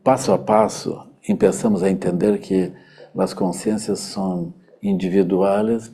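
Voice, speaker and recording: A Brazilian man, a native Portuguese speaker with a Brazilian Portuguese accent, gives a hesitant Buddhist teaching with many pauses and fillers, sometimes slipping into Portuguese. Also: low voice, vocal fry, dark tone, husky. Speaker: Buddhist teacher giving a public talk. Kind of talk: unhurried dharma talk.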